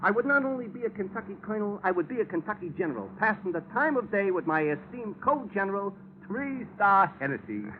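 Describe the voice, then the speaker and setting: High-pitched, streetwise young New gambler. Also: male voice, lively intonation, brisk, hardly any pauses. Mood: mock-grand. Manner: flowery, mock-formal speech with streetwise slang. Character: wisecracking young male racetrack gambler sidekick, mock-genteel. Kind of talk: 1940s radio acting. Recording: noisy, tinny 1940s radio recording.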